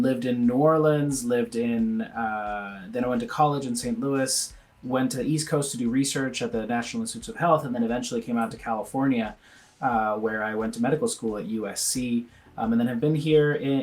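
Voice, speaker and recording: A man from Venezuela, a pediatrician guest on a video call, with a voice at an ordinary middle pitch. He talks earnestly and thinks aloud as he goes, often restarting his sentences. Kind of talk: conversational interview answers.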